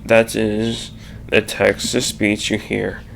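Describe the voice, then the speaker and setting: A man giving an informal explanatory slide presentation, haltingly, with stumbles and restarts mid-sentence. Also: fairly low pitch, completely flat delivery, gravelly, a bit bright, a little hiss or hum.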